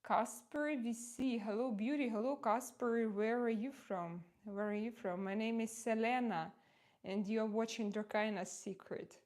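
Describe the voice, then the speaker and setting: A woman in her forties with a Russian accent, chatting casually to her livestream viewers while doing her makeup, halting often with fillers. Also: on the high side, fairly even intonation, a little mellow. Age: 40s. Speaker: live streamer.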